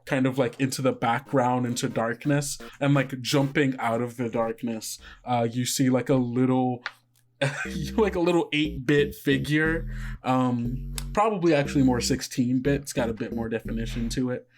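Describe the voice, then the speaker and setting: A man narrating a tabletop game as game master, casually and haltingly, feeling his way through descriptions. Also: medium pitch, fairly even intonation. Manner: halting, filler-heavy scene description.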